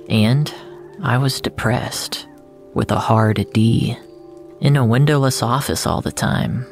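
An actor plays a depressed man narrating an audio drama in the first person. He speaks slowly and confessionally, brooding on his regrets, in a mid-pitched voice over music. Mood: glum, resigned.

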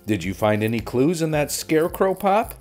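Guy doing a keen little bear cub for a storybook, pitched up, earnest, very lively. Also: normal speed, soft background music. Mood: eager, cautioning. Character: eager, careful little bear cub detective.

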